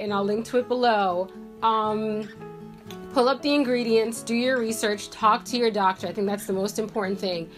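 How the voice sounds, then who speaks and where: A woman in her thirties from Jamaica, congested from a cold, chatting casually to camera in her bedroom with lots of fillers. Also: medium pitch. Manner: chatty, rambling, many "like" and "um" fillers. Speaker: beauty vlogger. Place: bedroom at home, indoors.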